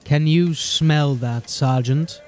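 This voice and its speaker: An actor playing a haughty, pompous captain in an audio drama who talks in a lecturing way. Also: male voice, a little high, takes it slowly, a little hiss or hum. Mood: grandiose. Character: haughty, pompous captain, middle-aged man.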